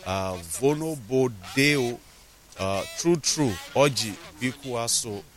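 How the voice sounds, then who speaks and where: A man's voice: mid-range voice, animated, slow. Nigerian man hosting a morning talk show. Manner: conversational, reads messages aloud with fillers and asides. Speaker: radio talk show host. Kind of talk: morning call-in talk, reading listener messages.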